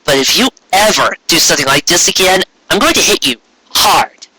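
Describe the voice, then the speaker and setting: A man's voice: high-pitched, expressive, normal speed, pushed and bright, husky, no echo, voice pushed up, exaggerated voice, poor audio. A man voicing a blunt, tough young female pegasus pony, speaking sternly in a story reading. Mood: stern warning.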